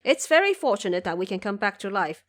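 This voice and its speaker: A woman's voice: medium pitch, very animated, fast talker, lightly acted. Woman acting humble, earnest male subject. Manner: deferential, earnest, recounting. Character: humble, loyal male commoner subject reporting to his emperor. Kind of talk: audio drama character acting.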